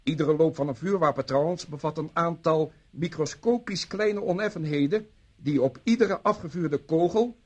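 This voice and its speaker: An actor playing a pedantic ballistics expert in a radio play, formal, precise and stilted. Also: male voice, a little high, husky.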